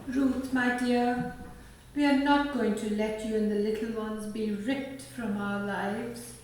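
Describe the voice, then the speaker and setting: A woman playing a kindly, sentimental married neighbour in a radio play, speaking gently and with open affection. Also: a little high, fairly even intonation, takes it slowly. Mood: tender. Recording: roomy, distant, faint background noise.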